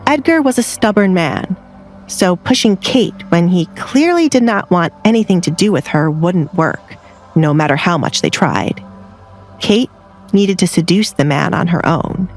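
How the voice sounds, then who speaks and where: A woman's voice: mid-range voice, expressive, measured pace, faint music behind. A woman reading scripted story narration as an audiobook narrator.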